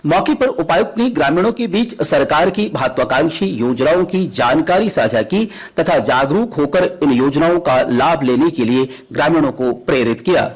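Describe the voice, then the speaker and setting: Male correspondent for a news bulletin, slightly high and husky voice, reading a prepared report. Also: expressive, normal speed, hardly any pauses, poor audio. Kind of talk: scripted field report.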